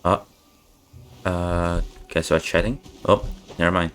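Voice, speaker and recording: Low-voiced man murmuring along to a game. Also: level tone, slow pace. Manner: terse, murmured acknowledgements. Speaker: streamer. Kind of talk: casual play-along comments. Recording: over faint game music.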